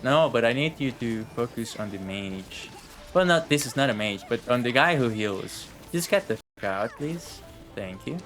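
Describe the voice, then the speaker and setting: A man's voice: lowish voice, fairly bright tone. A man chatting and rambling as he thinks aloud, commentating casually on a video game he is playing. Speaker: game streamer. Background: video game music and dialogue.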